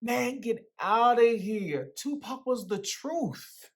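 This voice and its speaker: A woman's voice: fairly high pitch, animated, slow pace, exaggerated voice. A woman playing a cocky, teasing young man with slangy, swaggering speech in a dramatic reading of a novel. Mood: casual, defiant.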